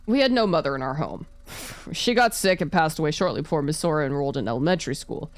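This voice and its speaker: Lively, lowish-voiced woman streaming a game. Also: fast, dry recording. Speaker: VTuber streamer. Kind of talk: reading visual novel narration aloud with casual reactions.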